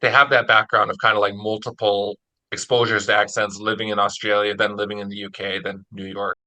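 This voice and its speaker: A man's voice: on the low side, little pitch movement, a little fast, pushed and bright, rough recording. A man interviewing casually as host, in an informal, slightly hesitant way. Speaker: interviewer, project author.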